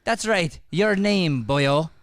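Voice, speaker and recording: A stern, pompous Irish schoolmaster played by a man in an improvised radio comedy, with a fairly high, clear voice and a close, dry sound. He scolds with emphasis but has a forgiving streak. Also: animated, takes it slowly, no room sound, exaggerated voice. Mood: firm, forgiving.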